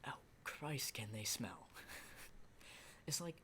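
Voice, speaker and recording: A man playing a battle-hardened, rattled survivor of a zombie apocalypse in an ASMR roleplay, rambling and swearing in hushed, halting speech. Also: mid-range voice, expressive, slight background noise. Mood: urgent, amazed.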